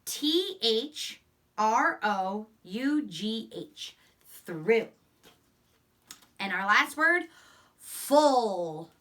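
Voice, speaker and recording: A woman teacher giving a phonics lesson and read-aloud to young children, encouraging, enunciating deliberately and repeating words, picked up by a distant microphone. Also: a little high, very animated, fairly bright tone. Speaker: elementary school teacher.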